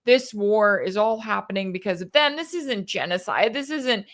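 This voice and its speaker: A woman's voice: medium pitch, animated, moderate pace, fairly bright tone. An American woman giving opinionated political commentary as a host, with an emphatic, rhetorical delivery and sarcastic asides. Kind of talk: opinionated news commentary, reading interview quotes and reacting.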